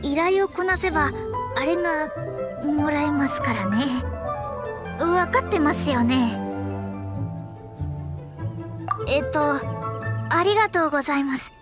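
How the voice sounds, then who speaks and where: High-pitched, theatrical young astrologer girl. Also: expressive, unhurried. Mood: resolved, hesitant. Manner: formal polite speech, theatrical. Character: proud, dramatic young female astrologer, easily flustered. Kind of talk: Japanese anime game acting. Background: background music.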